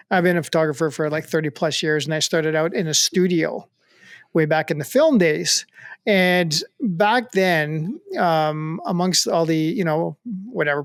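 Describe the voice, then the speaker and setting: Higher-pitched, gravelly middle-aged man. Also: no room sound, studio quality. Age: middle-aged or older. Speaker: podcast co-host, photographer. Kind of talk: casual chat.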